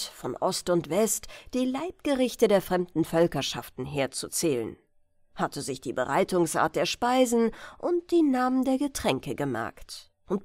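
A woman narrating a bedtime fairy tale as an audiobook, measured and careful in her storytelling. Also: medium pitch, darkish tone, no room sound, studio quality.

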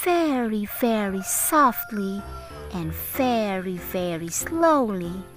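A woman teacher reading a storybook aloud for young children in a deliberate, sing-song way, addressing them directly. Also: mid-range voice, expressive, poor audio. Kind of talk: slow, animated read-aloud for young children. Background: music.

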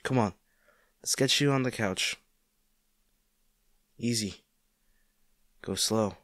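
A man's voice: on the low side, slow pace, fairly bright tone, dry recording, clean studio sound. A man acting a caring, worried boyfriend in an intimate audio roleplay, speaking tenderly and coaxingly to his injured partner. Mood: gentle, soothing. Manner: tender, coaxing, hesitant, speaking directly to the listener.

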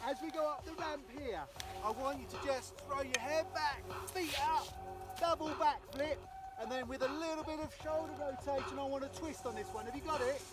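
High-voiced man coaching on a ski slope. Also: a little fast, loud music behind the voice. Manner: animated, encouraging, calling out instructions. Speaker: ski instructor. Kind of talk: hands-on children's lesson, short spoken cues. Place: outdoors on an open ski slope. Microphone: camera a few metres away.

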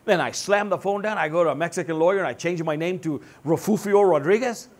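A pastor in his sixties delivers a playful, animated sermon from a church stage, joking with the congregation as he goes. His voice sits a little high for a man. He is picked up by a headset mic. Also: fast talker. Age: 60s. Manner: animated, joking, gestures broadly while seated. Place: church stage with band gear.

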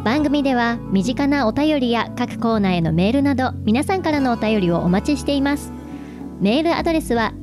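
Female radio presenter, high-pitched, for announcements and chat over a clear music bed. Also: lively intonation, a little fast. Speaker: radio host. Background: background music.

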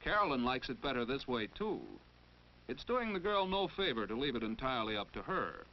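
Lively 1940s educational film narrator, male. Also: mid-range voice, a little fast. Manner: didactic, rhetorical questions. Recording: muffled, noisy 1940s film soundtrack.

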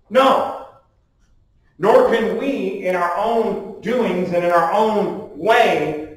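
A man in his forties preaching a sermon from a pulpit, emphatic, often putting questions to the listeners. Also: high-pitched. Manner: emphatic, with rhetorical questions and calls for amen. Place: small church sanctuary, at a wooden pulpit indoors. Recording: distant, very echoey room sound.

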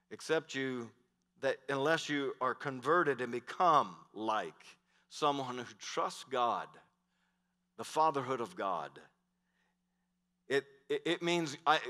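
A middle-aged man preaching from a big church stage in a bright, forceful voice. He swings from loud, emphatic declarations down to hushed asides. His voice carries a long reverb from the hall. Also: medium pitch. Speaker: pastor. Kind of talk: sermon.

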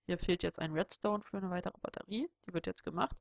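A young man with a cold walks through a game build in a loose, thinking-aloud way. His voice is gravelly and slightly stuffy. It comes through a dull, lo-fi home microphone. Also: sits high, fairly quick, no room sound. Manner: relaxed, rambling, thinking aloud. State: has a cold. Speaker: Let's Play host. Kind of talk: casual gameplay tutorial commentary.

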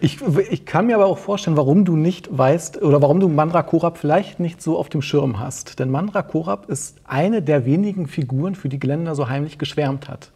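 A man's voice: fairly high pitch, a little fast, hardly any pauses, warm tone, breathy edge. A middle-aged man, an author and fan, explaining details in a casual, matter-of-fact studio chat. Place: small indoor studio set at a table.

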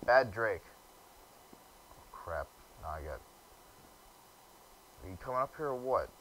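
Gamer, young man, deep low voice, casual grumbling commentary. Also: lively intonation, a little slow, bright, forceful tone, a little hiss or hum, low-quality recording. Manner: casual, grumbling, stumbles reading quest text aloud. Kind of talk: let's play commentary.

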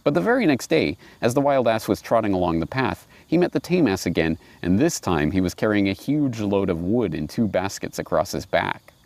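Gravelly, animated man giving reflective commentary. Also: on the low side, fairly quick, few pauses, no echo. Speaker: host and commentator. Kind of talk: reflective commentary with read-aloud fables. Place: outdoors on grass by trees and water. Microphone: lapel mic.

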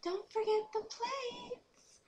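A woman's voice: extremely high pitch, steady tone, very slow, darkish tone, voice pushed up, full character voice. A woman voicing a bossy, cheery pony teacher instructing young ones. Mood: cheerful, commanding. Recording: noisy home recording.

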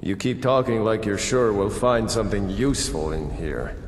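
Man as a gruff skeptical ghost mage, game voice, on the low side, echoey. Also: expressive, normal speed, close to an everyday voice. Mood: impatient, doubtful. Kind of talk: fantasy video game acting. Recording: echoing, noisy game hall.

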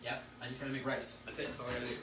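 A man's voice: medium pitch, completely flat delivery, rapid-fire. A playful man in his thirties banters casually as he cooks. His voice comes from across a roomy, echoing kitchen, with heavy noise around it. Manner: playful, teasing. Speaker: co-host of a home cooking vlog. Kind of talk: casual bantering chat while cooking. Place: home kitchen, indoors. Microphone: camera across the room. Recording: roomy, noisy kitchen, distant mic.

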